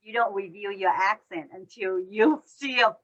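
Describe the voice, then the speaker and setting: A woman's voice: mid-range voice, a little slow, fairly bright tone, dull audio. A woman hosting an interview, speaking English as a with grammar and asking friendly questions. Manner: non-native grammar, friendly prompting questions. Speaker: podcast host. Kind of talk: casual interview chat.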